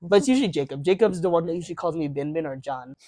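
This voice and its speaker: A man's voice: a little high, lively intonation, very fast, mellow, no echo. A young man chatting casually with friends as podcast host, rambling through stories and laughing often. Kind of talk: casual chat among friends.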